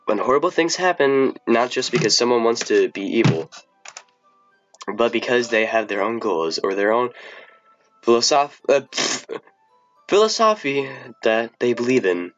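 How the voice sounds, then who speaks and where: A man who says he is sick, reading the lines of a shy, bookish teenage girl in a quiet, hushed voice. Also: medium pitch, lively intonation, unhurried, pauses often, dull audio, faint music behind, natural voice.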